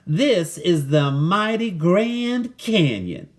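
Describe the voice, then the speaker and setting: Storybook cowgirl, played by a man: friendly, folksy, raised pitch, drawn-out words. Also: animated, full character voice. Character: friendly drawling cowgirl woman selling canyon postcards. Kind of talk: storybook character voice.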